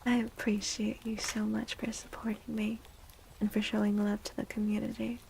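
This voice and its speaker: A woman livestreamer speaking in a soft, breathy, often whispery ASMR style, gentle and soothing, close to the microphone. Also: mid-range voice, little pitch movement, normal speed, a little hiss or hum, poor audio. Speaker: livestreamer reading tarot for viewers.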